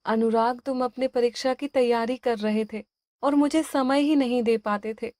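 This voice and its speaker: A woman's voice: a little high, fairly even intonation, normal speed, mellow, dry recording, clean studio sound, close to an everyday voice. A woman voicing a guilt-ridden young bride in an audio story, pleading and apologetic.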